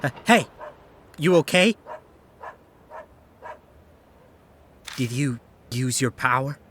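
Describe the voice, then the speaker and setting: A man voicing a level-headed, inquisitive companion in a horror mystery fan dub, measured and pondering. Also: mid-range voice, animated, fairly bright tone, slight background noise. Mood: concerned. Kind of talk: visual novel fan dub acting.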